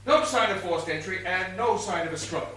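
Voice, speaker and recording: Man playing a high-voiced, stern police inspector, echoey stage. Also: fairly quick, pushed and bright. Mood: stern, accusing. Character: male police inspector, stern, theatrical and self-assured. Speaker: stage actor. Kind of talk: amateur one-act stage play acting. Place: indoor theatre stage before an audience. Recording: echoey theatre stage from far, faint noise.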